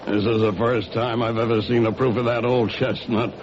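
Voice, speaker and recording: A man playing a skeptical, matter-of-fact police lieutenant in 1970s radio drama style, questioning in a brisk, dry manner. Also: medium pitch, level tone, close to an everyday voice. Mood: surprised. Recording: muffled, noisy old radio recording.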